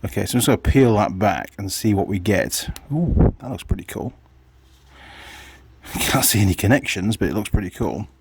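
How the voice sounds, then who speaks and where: A man with a husky voice giving casual hands-on workshop commentary, muttering to himself and his viewers as he works. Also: lowish voice, lively intonation, normal speed, slight background noise. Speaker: vlogger, hobby mechanic.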